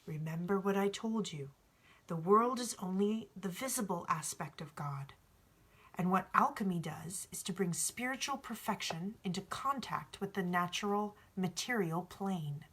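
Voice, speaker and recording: Woman reading as a hushed, wise male alchemist. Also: on the low side, expressive, breathy edge, heavily acted. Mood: stern, instructive. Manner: hushed, measured, gently instructive. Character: calm, wise, cheeky male alchemist mentor. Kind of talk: storybook read-aloud.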